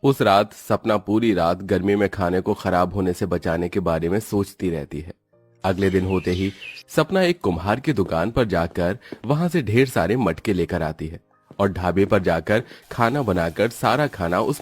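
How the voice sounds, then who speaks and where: A man's voice: sits low, husky, no echo. Dry-sounding male cartoon story narrator.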